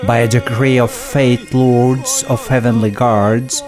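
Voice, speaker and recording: A man narrating a folk epic as scripted storytelling, in a measured, solemn manner. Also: mid-range voice, little pitch movement. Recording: voice-over with loud music behind. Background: loud music.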